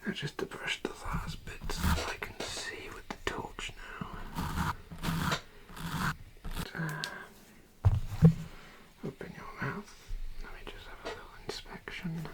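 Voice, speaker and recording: A person performing an ASMR dentist roleplay in a breathy whisper with creaky edges, speaking softly and hesitantly as they give instructions. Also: mid-range voice, fairly even intonation. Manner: soft, hesitant, unhurried instructing.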